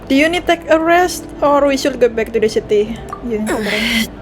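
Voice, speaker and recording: A woman with an Indonesian accent giving casual, excitable reaction commentary as she plays a game, often repeating her phrases. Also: fairly high pitch, fairly quick. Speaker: game streamer. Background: game music.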